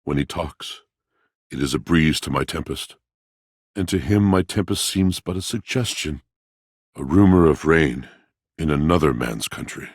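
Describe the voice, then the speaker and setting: A man voicing a brooding, lovelorn, melodramatic video game character, speaking in a grandiose, poetic, mournful way with a gravelly voice. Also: very deep voice, expressive, measured pace, dry recording, clean studio sound, heavily acted. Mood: yearning.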